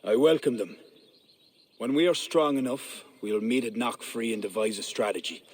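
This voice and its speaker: An actor playing an ambitious medieval high king in a game cutscene, speaking in a grave, commanding, regal manner. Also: normal speed, breathy edge, lightly acted. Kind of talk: video game cutscene acting.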